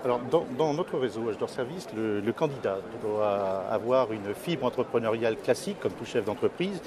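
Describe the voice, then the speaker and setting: A man in his fifties giving hesitant, measured interview answers as a company executive. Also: medium pitch, animated, crowd noise. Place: trade fair interview stand, indoors. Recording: clearly noisy exhibition hall ambience.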